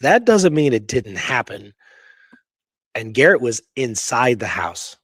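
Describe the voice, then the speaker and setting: A man co-hosting a casual history discussion, telling the story in an animated way with rhetorical questions. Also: medium pitch, measured pace, slightly rough, no room sound. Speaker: podcast co-host presenting history research.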